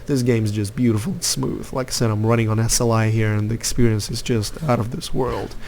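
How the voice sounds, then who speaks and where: A man's voice: lowish voice, fairly even intonation, a little fast, gravelly, darkish tone, slight background noise. A young man from Lithuania giving rambling, opinionated commentary over his gameplay, with lots of fillers. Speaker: gaming youtuber.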